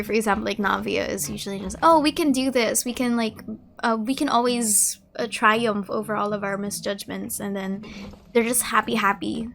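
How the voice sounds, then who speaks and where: A woman streamer giving casual, gushing gameplay commentary, emotional and close to tears, halting and repeating her words. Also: medium pitch, vocal fry, a bit bright. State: emotional, tearful. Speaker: streamer reacting to a game story. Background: game music.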